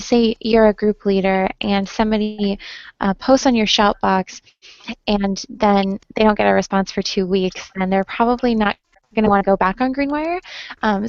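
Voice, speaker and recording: Gravelly woman leading a web training. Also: medium pitch, dry recording. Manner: friendly, many "um" fillers. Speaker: online trainer. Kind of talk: screen-share training webinar. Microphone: computer mic on a video call. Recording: dull lo-fi web call audio.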